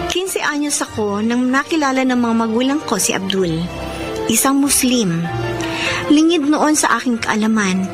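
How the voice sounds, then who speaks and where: A woman's voice: fairly high pitch, close to an everyday voice. An actress playing an earnest, defiant fifteen-year-old girl in a Filipino melodrama, plaintive, with a Filipino accent. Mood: sorrowful, reflective. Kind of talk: Filipino radio-style melodrama acting and narration. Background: prominent music.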